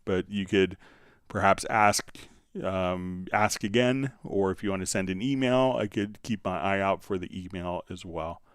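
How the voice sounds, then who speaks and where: A man hosting a casual live music software tutorial, answering viewer questions in a halting, thinking-aloud way. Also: deep voice, smooth, dry recording.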